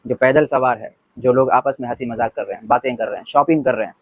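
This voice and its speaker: A man's voice: medium pitch, steady tone, quick pace, breathy edge, no echo. A man giving religious commentary in an earnest, preachy way, building points through repeated lists. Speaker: religious commentator, video host. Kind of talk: spoken religious commentary to camera.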